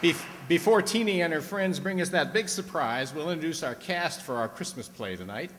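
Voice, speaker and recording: A man's voice: on the high side, lively intonation, moderate pace, fairly bright tone. A man announcing on stage as the host of a live radio play. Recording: echoey hall, audience and background noise.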